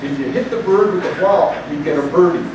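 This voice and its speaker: A man's voice: a little high, fairly quick, background noise, lightly acted. A man voicing an earnest young boy confidently explaining a game he barely knows. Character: eager young boy, seven or eight. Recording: roomy cafe, distant mic, chatter.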